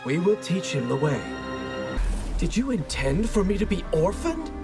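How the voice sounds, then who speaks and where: A man's voice: sits high, sing-song, normal speed. An actor voicing an earnest, wounded younger brother and warrior in a video game, questioning as he speaks. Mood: hurt, earnest. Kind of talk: video game character dialogue. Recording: game dialogue over music.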